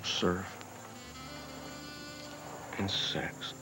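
An actor playing an easygoing young boyfriend on a 1990s daytime soap who talks calmly and reassuringly. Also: male voice, extremely slow. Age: young adult. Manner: calm, reassuring, some restarts. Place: indoor studio set. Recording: noisy soap broadcast recording.

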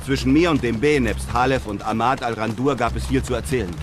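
A man playing a brave, composed European traveller who narrates his desert adventure in measured, literary sentences. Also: mid-range voice, fairly even intonation, music in the background, natural voice. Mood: composed, suspenseful. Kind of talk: scripted first-person radio drama narration.

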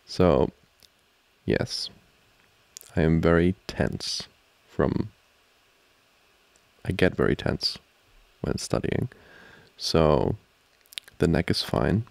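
A man playing an amorous, giggly student boyfriend in an intimate ASMR roleplay, talking haltingly and teasingly close to the mic. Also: rumbling low, lively intonation, gravelly, dry recording, heavily acted. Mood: teasing, flustered. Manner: halting, soft-spoken, chuckling, teasing. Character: amorous, giggly young male student boyfriend trying to study. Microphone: close microphone, dry.

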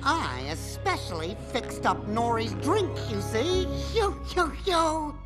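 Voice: sits high, big pitch swings, takes it slowly, over loud music, heavily acted. An actor voicing a goofy, scheming pirate henchman in a children's cartoon, silly and sly. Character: goofy scheming pirate henchman toy with odd chanting laugh. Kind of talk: children's animated series dubbing.

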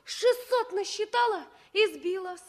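A woman's voice: very high-pitched, unhurried. An actress playing an earnest, anxious young girl in a 1960s radio play, speaking in a pleading, emotional way. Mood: earnest reporting.

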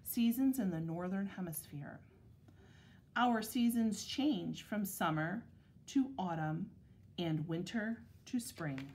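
A woman teacher reading a picture book aloud to young children, with measured, deliberate phrasing. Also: mid-range voice, a little mellow, slight background noise.

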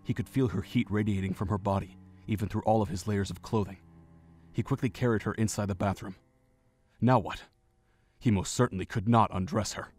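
Male narrator, lowish voice, measured reading, faint music behind. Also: steady tone, a little mellow. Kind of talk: scripted story narration.

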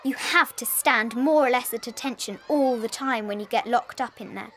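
A woman's voice: fairly high pitch, moderate pace, a bit bright, a little hiss or hum, lightly acted. An actress playing a tough older British schoolgirl in a dramatized children's audiobook, scaring newcomers with knowing, ghoulish relish.

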